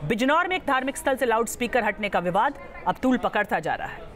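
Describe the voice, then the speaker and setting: A woman's voice: on the high side, lively intonation, fast, fairly bright tone, some chatter behind. A woman presenting the news as an anchor.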